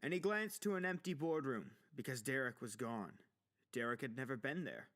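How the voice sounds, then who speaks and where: A man's voice: medium pitch, expressive, normal speed, fairly bright tone. A man reading a dramatic story as scripted audiobook narration. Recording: music playing under the voice. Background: music with guitar.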